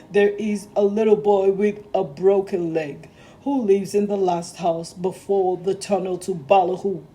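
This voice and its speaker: A woman's voice: mid-range voice, dark tone, lightly acted, rough recording. A woman voicing a pompous, firm male railway boss with an air of authority in a children's storybook.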